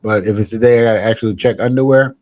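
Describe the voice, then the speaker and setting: A man's voice: lowish voice, racing, darkish tone, slight background noise. Rambling jokey podcast host, a man. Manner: rambling, jokey, restarts a lot. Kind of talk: casual comedic chat.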